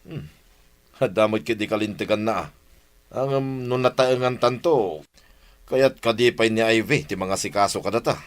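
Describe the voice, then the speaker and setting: A man's voice: lowish voice, normal speed, long pauses, natural voice. A man playing a sly, grumbling village husband in an Ilocano radio drama, with an Ilocano accent.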